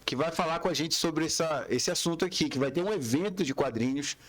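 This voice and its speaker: A man's voice: a little high, fairly quick, a bit bright. A man hosting a podcast interview in casual, conversational Portuguese. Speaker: podcast host.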